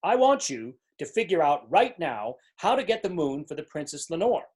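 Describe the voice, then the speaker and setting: A man's voice: on the high side, sing-song, moderate pace, slightly rough. A man playing a demanding, fretful king in a storybook readers theater, complaining and insistent. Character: petulant, demanding king, fretful and easily enraged.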